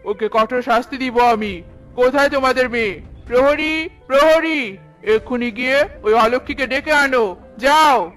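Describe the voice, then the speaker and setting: Man as a haughty high-pitched cartoon king. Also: measured pace, fairly bright tone, soft background music. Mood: outraged. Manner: imperious, scolding, commanding. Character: haughty, cruel king, contemptuous of the poor. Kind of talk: dramatic cartoon voice acting.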